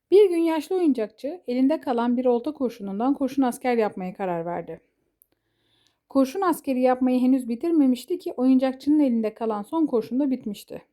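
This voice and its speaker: Female storyteller for children's fairy tales, high pitch, mellow tone, scripted narration.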